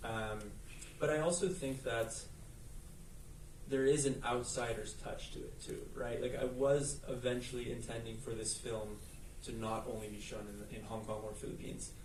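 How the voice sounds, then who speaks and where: A man's voice: mid-range voice, steady tone, moderate pace, slight background noise. A man from the United States, a film director, answering questions in a festival Q&A in a thoughtful, earnest way with fillers. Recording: echoey room, mic at a distance.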